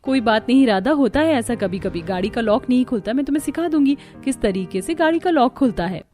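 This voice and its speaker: A woman's voice: a little high, animated, a little fast, mellow, faint music behind, close to an everyday voice. A woman voicing a kind, helpful young sister-in-law in a cartoon, friendly and reassuring.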